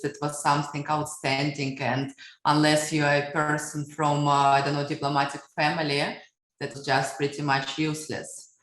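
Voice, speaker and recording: Russian woman in her 30s, low voice, reflective storytelling, echoey room. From Siberia, Russia. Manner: reflective, storytelling, frequent fillers. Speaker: guest. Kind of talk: podcast interview answers.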